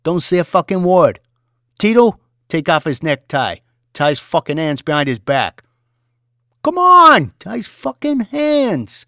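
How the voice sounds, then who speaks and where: A man voicing a volatile, bullying young finance boss who shouts and swears at his staff. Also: on the high side, sing-song, moderate pace, no room sound, clean studio sound, pitched above the performer's own voice. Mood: raging. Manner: shouting, swearing, aggressive New York street talk.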